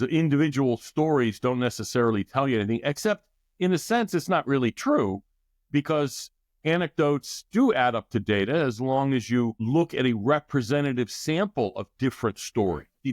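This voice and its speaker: A man's voice: medium pitch, lively intonation, moderate pace, dry recording. Middle-aged man explaining, dry sound. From United States. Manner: thoughtful, explanatory with restarts. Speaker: guest expert. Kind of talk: podcast interview.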